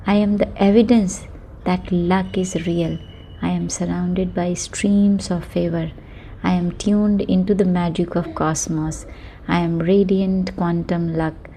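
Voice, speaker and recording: A woman with a soft, mellow tone leads a guided sleep meditation. She speaks very slowly and soothingly, leaving long pauses between short affirming phrases. A faint ambience sits behind her voice. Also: on the low side, flat delivery, slight background noise, rough recording.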